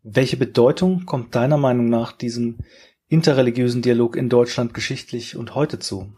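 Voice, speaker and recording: A man's voice: mid-range voice, normal speed, dark tone, breathy edge. A male podcast host reading a formal introduction and asking polite interview questions.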